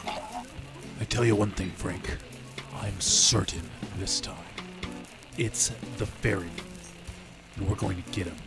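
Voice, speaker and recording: An actor playing a weary, hard-drinking, tenacious police detective in old-time radio style. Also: male voice, on the low side, steady tone, gravelly, music in the background. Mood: weary, bitter. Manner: slow, heavy, world-weary. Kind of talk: 1940s radio acting.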